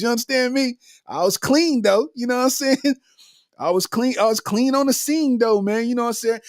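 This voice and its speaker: A man's voice: high-pitched, no room sound, clean studio sound. A man, hosting a casual solo podcast in a laid-back way and often tagging his lines with "man" and "you know what I'm saying.". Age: 40s. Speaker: podcast host.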